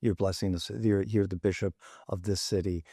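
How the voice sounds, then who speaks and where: Thoughtful low-voiced man, halting. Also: level tone, warm tone, husky, no room sound, studio quality. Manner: thoughtful, halting, many restarts. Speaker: podcast panelist. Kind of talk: casual discussion. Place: studio in Rome.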